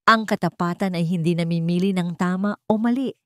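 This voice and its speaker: A woman voicing an elderly housekeeper in a story, calmly menacing and bitter. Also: fairly low pitch, a little fast, no room sound, clean studio sound, lightly acted. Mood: bitter defiant. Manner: calm, cold delivery.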